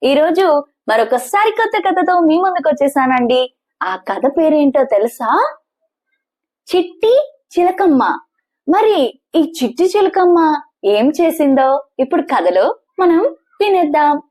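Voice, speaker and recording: A woman hosting and narrating children's stories in an animated, expressive way, speaking directly to her listeners. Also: sits high, slow pace, pauses often. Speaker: host and storyteller of a children's story channel.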